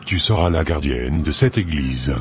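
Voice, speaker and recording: A man voicing a sinister, commanding older marabout sorcerer in a French cartoon, speaking in a measured, solemn way. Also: a bass voice, lively intonation, a little hiss or hum. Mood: cold, instructing. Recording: music behind the voice.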